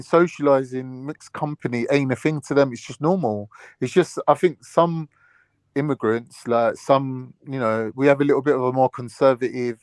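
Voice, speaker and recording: A man's voice: mid-range voice, no echo. A man chatting on a podcast panel, rambling and filling his talk with "like" and "you know what I mean". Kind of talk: casual debate chat.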